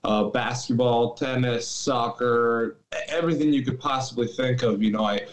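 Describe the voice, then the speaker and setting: A young man from Greece, a guest on a podcast, talking in a relaxed way with lots of fillers over a video call. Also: mid-range voice, normal speed, echoey room. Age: young adult. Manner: relaxed, many fillers and restarts. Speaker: guest athlete. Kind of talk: casual interview chat. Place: small room at home.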